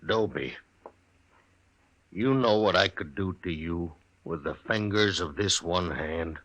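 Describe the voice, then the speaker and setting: Deep-voiced, menacing mountain man. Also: fairly bright tone. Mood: threatening. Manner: slow rustic frontier drawl, blunt. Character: huge, proud, menacing mountain man husband. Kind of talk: old-time radio western acting.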